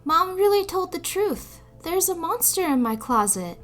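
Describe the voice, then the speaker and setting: A woman's voice: very high voice, very animated, a little slow, fairly bright tone, faint music behind, voice pushed up, exaggerated voice. A woman voicing an earnest, lonely and proud little boy of about six, eager and a bit haughty, in a storybook reading. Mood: excited.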